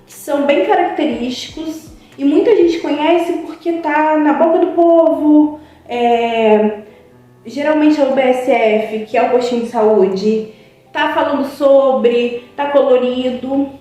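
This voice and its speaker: A young woman, a psychologist, talks in a mellow, fairly high voice, earnest and reflective, often pausing on a drawn-out filler. She sits back from the mic in a strongly echoing room with faint music underneath. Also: recorded from a distance. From Brazil. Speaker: psychologist guest. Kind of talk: informal spoken talk to a web TV channel.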